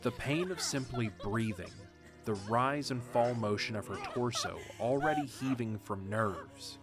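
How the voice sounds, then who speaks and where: A man narrating a fan audio drama in a steady, measured storytelling manner. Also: mid-range voice. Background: music.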